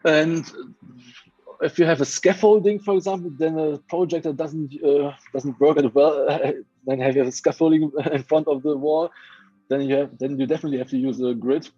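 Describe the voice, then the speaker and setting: A man from Hungary with a Hungarian accent, a muralist guest on a video call, speaking haltingly with many restarts as he searches for words. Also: on the high side, steady tone, dark tone, slightly rough, dry recording, dull audio, soft background music. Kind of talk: casual interview chat. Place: home, remote video call.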